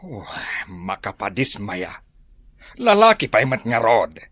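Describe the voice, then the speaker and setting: A middle-aged country father in an Ilocano radio drama. His husky voice swings widely as he grumbles with scorn, in an Ilocano accent. The recording is close and dry. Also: lowish voice, wildly swooping pitch, takes it slowly, fairly bright tone, no room sound, exaggerated voice. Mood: scornful, irritated.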